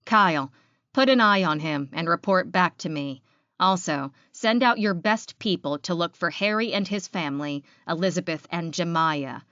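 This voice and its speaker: A woman as a menacing low-voiced pack leader. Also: animated, moderate pace, fairly bright tone, no room sound, lo-fi sound. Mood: commanding. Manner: commanding, threatening. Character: cold, menacing pack leader giving orders. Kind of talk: audiobook character acting.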